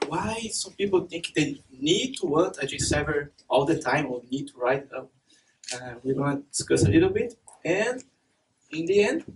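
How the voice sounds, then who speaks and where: A man's voice: on the high side, animated, normal speed. Young Brazilian man, laughing a lot. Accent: Brazilian Portuguese. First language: Portuguese. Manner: chatty, laughs often, many fillers. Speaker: conference speaker. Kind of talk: conference talk. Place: dark event hall with stage and projector. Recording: distant mic with faint noise.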